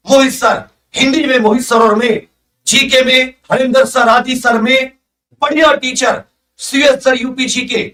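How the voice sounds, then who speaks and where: Male exam coach, sharp bright delivery, slightly off-mic, motivational and emphatic. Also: high-pitched, recorded from a distance. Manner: emphatic, motivational, repeats phrases for stress. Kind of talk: energetic exam-prep announcement to students.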